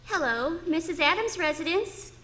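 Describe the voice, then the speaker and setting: A woman's voice: very high-pitched, lively intonation, moderate pace, sharp tone, full character voice. An actress playing a polite, reserved, solemn woman answering a household telephone in an old-time radio drama. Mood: polite, guarded. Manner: polite, reserved, matter-of-fact.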